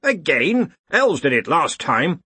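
A man's voice: on the high side, big pitch swings, slow pace, pushed and bright, husky, no echo, voice pushed up, full character voice. A man voicing a bluff, commanding senior wizard in an audiobook, blunt and full of hearty authority. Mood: impatient.

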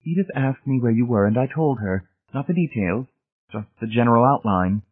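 A man's voice: mid-range voice, expressive, moderate pace, narrow, tinny audio, pitched above the performer's own voice. A man voicing a commanding, coolly indifferent wife in a storybook reading, with a chastising manner. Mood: shrugging, smug. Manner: clear, chastising. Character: cool, commanding young wife, sharp and indifferent.

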